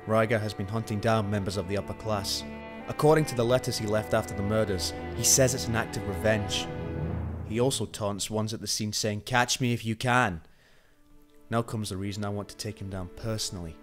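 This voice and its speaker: A man's voice: fairly low pitch, steady tone, moderate pace, music in the background, natural voice. A man playing a driven, hot-tempered police detective in an earnest, dramatic amateur radio play. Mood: grim, narrating.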